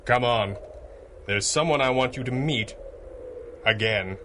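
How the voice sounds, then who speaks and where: A man playing a calm, wry, gentlemanly masked hero in a 1930s-style radio serial, dry and understated. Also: mid-range voice, a little slow, noisy background, close to an everyday voice.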